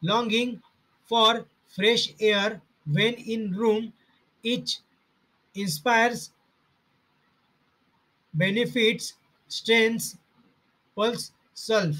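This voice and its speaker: Slow, bright-voiced man reading notes. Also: high voice, fairly even intonation, low-quality recording. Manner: halting, reads items in short fragments. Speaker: homeopathy study narrator.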